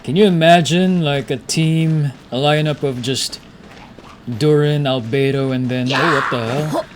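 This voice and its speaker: A man's voice: a little high, measured pace. A man streaming a video game with casual, slangy commentary, musing aloud as he plays. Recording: noticeable background noise, game sound. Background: video game audio.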